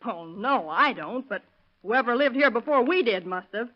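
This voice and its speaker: An actress playing a suspicious, fed-up housewife, brusque and complaining, in 1930s radio crime drama style. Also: female voice, fairly high pitch, lively intonation, normal speed, natural voice. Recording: dull lo-fi vintage radio recording.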